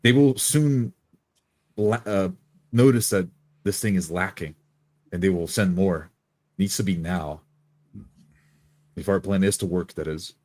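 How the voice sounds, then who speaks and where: A resolute party leader acted by a man at a gaming table, low and grave, recorded close and dry. He speaks in measured, urgent commands. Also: a little mellow, dry recording. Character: Philippe, grave, resolute adventurer leading his party.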